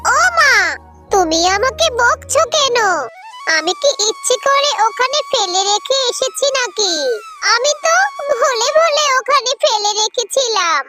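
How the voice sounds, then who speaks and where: Squeaky, whiny little fox girl, voiced by a woman; cartoon, music bed under the lines. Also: lively intonation, unhurried. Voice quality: high squeaky child-like voice. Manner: whiny, pleading. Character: young girl fox cub, pleading and tearful. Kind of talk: cartoon voice acting.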